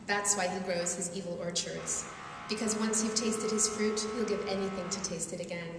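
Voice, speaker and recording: A woman's voice: mid-range voice, fairly even intonation, moderate pace, very noisy, lightly acted. A woman reading the lines of a stern old grandmother with a thick accent, giving a solemn warning, in an echoey hall away from the mic. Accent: thick foreign accent.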